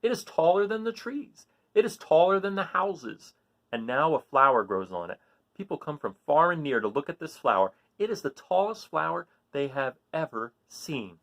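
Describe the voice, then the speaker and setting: Expressive man reading a children's storybook. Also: on the high side, vocal fry. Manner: dramatic, drawn-out emphasis on key words. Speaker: storybook reader reading aloud. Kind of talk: expressive children's picture book read-aloud.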